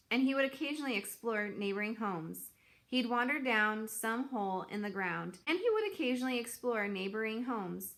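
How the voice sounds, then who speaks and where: A woman reads a children's story aloud. Her voice is fairly high and bright, and she narrates expressively, shaping phrases deliberately for drama. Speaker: children's book reader.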